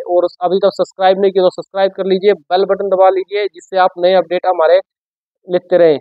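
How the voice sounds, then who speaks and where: A man presents products in a casual shop-video style. He explains informally, often repeating his phrases. The sound is slightly muffled and lo-fi, with little room echo. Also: high-pitched. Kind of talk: casual product unboxing and sales pitch. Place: shop office with sofa, indoors.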